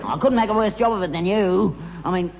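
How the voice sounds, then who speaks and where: A man's voice: high voice, a little fast, vocal fry, darkish tone, some chatter behind. An actor playing a stingy, querulous old father, a nagging junk dealer with a London Cockney accent and stammering repetitions, in a vintage British sitcom. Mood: scolding. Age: older. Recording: muffled, hissy old sitcom recording, echoey hall.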